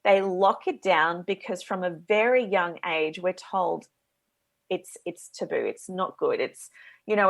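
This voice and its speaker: A woman's voice: medium pitch, gravelly, a bit bright. A woman with an Australian accent, a guest coach speaking earnestly and eagerly in a radio interview.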